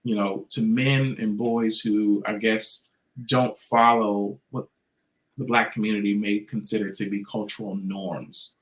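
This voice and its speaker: A man's voice: fairly low pitch, little pitch movement, unhurried, fairly bright tone, poor audio. A man speaking as a podcast guest, telling a personal story in a reflective, hesitant way. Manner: reflective, hesitant, with fillers and restarts.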